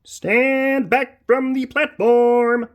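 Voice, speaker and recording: A woman voicing a tired, grumpy male train driver who shouts and grumbles in a storybook. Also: medium pitch, wildly swooping pitch, slow pace, slightly rough, voice pushed up, full character voice. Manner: shouty, exasperated.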